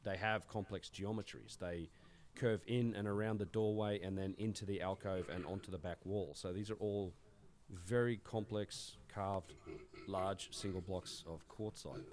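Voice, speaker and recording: A man hosting a tour of old stone ruins, chatting casually as he points things out, his voice hoarse from talking too much. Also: deep voice, fairly even intonation, measured pace, a little mellow. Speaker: host on a site tour.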